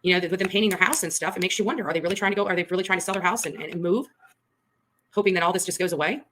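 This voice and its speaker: A woman's voice: lowish voice, rapid-fire, a bit bright, slight background noise. A woman giving emphatic, accusatory commentary on a livestream, repeating her points. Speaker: online case commentator.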